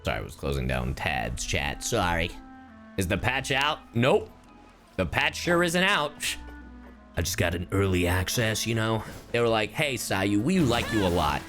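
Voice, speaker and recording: A man's voice: fairly low pitch, very animated, normal speed, a bit bright, faint music behind. A man streaming a video game, chatting casually with viewers in an irreverent, joking, slangy and sweary way. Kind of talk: casual livestream chat and game commentary.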